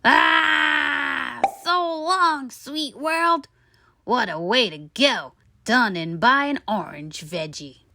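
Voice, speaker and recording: A woman voicing a loud, greedy, melodramatic talking sweet tooth that whines, pleads and scolds in a children's storybook. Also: high voice, takes it slowly, gravelly, no echo, clean studio sound, voice pushed up, full character voice. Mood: melodramatic despair. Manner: whiny pleading and bossy scolding.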